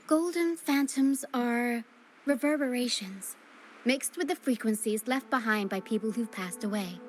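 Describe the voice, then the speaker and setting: A woman playing a caring, solemn young woman in a video game, speaking in a measured and earnest way. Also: fairly high pitch, a little hiss or hum, lightly acted, poor audio. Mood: solemn, explanatory. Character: caring young woman guiding through a ghostly mist, solemn and gentle. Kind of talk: scripted video game acting. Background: game music.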